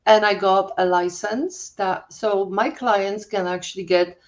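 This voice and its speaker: An older woman with a Polish accent tells her story slowly and haltingly, often restarting her sentences as she looks for the right word. Her voice sits at an ordinary middle pitch, and the recording is dull and muffled. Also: fairly even intonation. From Poland. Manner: halting, searching for words, many restarts. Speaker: guest, holistic health coach. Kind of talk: personal storytelling in an interview.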